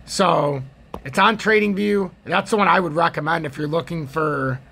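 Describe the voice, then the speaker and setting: An American man with a gravelly, husky voice giving casual, rambling, opinionated chart commentary as a trading video host. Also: fairly high pitch, normal speed, bright, forceful tone, a little hiss or hum.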